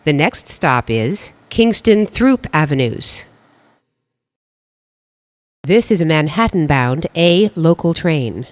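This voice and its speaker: A train announcer reading scripted station announcements in a formal, measured, pieced-together way. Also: medium pitch, lively intonation, dry recording, muffled recording.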